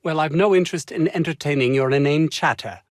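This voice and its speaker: A man's voice: fairly high pitch, fairly quick, dry recording, clean studio sound, lightly acted. An actor playing a pompous, anxious, self-important male research scientist in a video game, speaking in wordy, formal phrases with flustered hesitations. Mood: indignant, anxious. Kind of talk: video game character dialogue.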